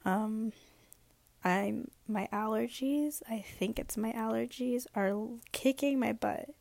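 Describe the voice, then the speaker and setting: A sick young woman who is losing her voice talks in a rambling, reflective way in a late-night personal vlog. She is hoarse and sometimes drops into a whisper. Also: a little high, slow pace, a little mellow, no room sound. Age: young adult. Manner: rambling, reflective, many fillers.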